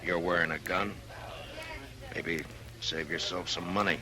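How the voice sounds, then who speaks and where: An American man acting a stern, weary frontier marshal in 1950s radio drama, terse and forceful. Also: low voice, fairly even intonation, a little slow, crowd noise. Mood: menacing. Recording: vintage radio recording with music.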